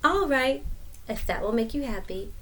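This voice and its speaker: A woman's voice: high voice, expressive, moderate pace, sharp tone. A woman voicing a small, shy but clever female bush deer in a storybook, mild and innocent in manner. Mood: feigned innocence.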